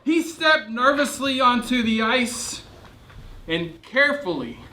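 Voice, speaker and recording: A man's voice: very high-pitched, sing-song, slow pace, sharp tone. A man telling a storybook to children in a playful, theatrical way, often putting questions to them. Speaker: children's storyteller and church kids' ministry host. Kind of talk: animated storybook reading to a group of children. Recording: noisy, echoey room with clicks.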